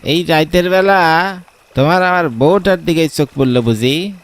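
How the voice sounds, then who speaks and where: A man voicing a gruff, irritable old village boatman in a horror cartoon, with curt rustic speech. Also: fairly high pitch, moderate pace, dry recording, pitched above the performer's own voice, lightly acted. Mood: irritated.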